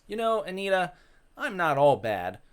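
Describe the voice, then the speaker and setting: A man's voice: sits high, sing-song, normal speed, clear, resonant tone, pitched above the performer's own voice. A man voicing a mischievous, vain, lecherous male ghost in a theatrical, exclamatory way for a visual novel. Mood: defensive, boastful.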